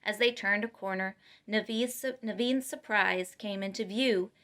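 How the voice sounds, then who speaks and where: A woman reading a children's storybook aloud in an expressive, emphatic way. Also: mid-range voice, normal speed. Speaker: storytime reader.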